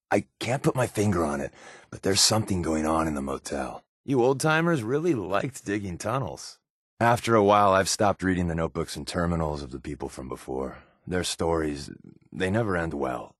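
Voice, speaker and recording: A wisecracking male undercover agent from a post-apocalyptic game. His voice sits fairly low and moves with lively, varied intonation. He delivers dry, playful sarcasm in a very dry studio sound. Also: no room sound. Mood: watchful, dry. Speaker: video game voice actor. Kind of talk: in-game companion barks and commentary.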